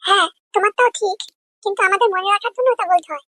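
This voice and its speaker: A performer voicing a bossy, innocent little girl in a comedy cartoon, childlike, with a pitched-up voice. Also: quick pace, sharp tone. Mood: instructing. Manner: instructing, childlike.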